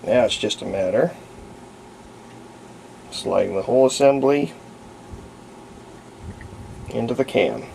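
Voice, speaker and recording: Gravelly-voiced man giving a folksy repair demo. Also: mid-range voice, slow, long pauses, darkish tone, slight background noise, low-quality recording. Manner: folksy, unscripted, narrating while working. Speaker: hobbyist radio restorer presenting a repair. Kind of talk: casual hands-on repair demonstration. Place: indoor workbench.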